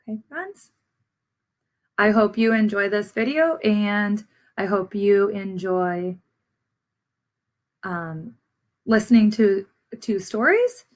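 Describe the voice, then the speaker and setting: Yavaş tempolu, öğretici konuşan bir kadın. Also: female voice, medium pitch, slow pace, pauses often. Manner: instructive, addresses listeners as friends. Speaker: teacher reading aloud to children. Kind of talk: read-aloud story and lesson questions for children.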